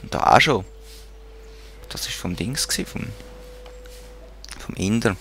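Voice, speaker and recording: A man's voice: fairly low pitch, animated, slow, vocal fry, bright, forceful tone, a little hiss or hum. A man with a Swiss German accent giving casual commentary while playing a strategy game, muttering to himself and talking to the units on screen. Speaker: let's play gamer.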